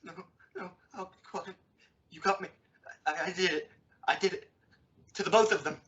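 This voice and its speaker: A frantic male killer falling apart, as a man acts him out for old-time radio. He stammers and repeats himself in a strained, forceful voice. The recording is dull and distant. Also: high voice, moderate pace. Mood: panicked. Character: frantic, broken male murderer confessing his crimes.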